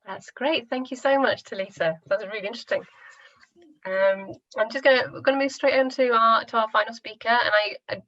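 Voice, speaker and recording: A woman chairs an online conference session in a casual way, with fillers and restarts. Her voice has a mid pitch and sounds dry and a little dull, as on a call. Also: a little fast, a bit bright, dry recording. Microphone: video call.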